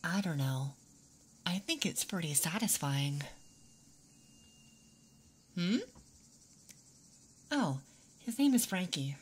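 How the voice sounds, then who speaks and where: A woman doing a casual ASMR roleplay, talking softly and relaxed, drifting into whispers. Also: fairly low pitch, slow, long pauses, breathy edge. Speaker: ASMR performer. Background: wooden toy sounds, faint ambience.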